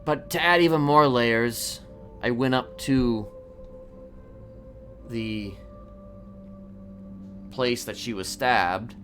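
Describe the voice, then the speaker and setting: A man playing a calmly boastful, evasive serial killer in improvised crime roleplay, rambling and hesitant as he confides. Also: mid-range voice, soft background music. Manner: halting, rambling, hesitant delivery.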